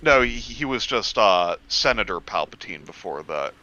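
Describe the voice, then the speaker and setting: A man chatting casually and explaining things in an animated way, on a lo-fi recording. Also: fairly low pitch, normal speed.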